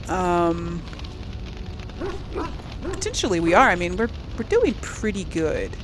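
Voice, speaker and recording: A woman's voice: on the low side, background noise. A woman hosting a casual let's play, chatting to viewers and thinking aloud in a tentative way. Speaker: gaming video host and player.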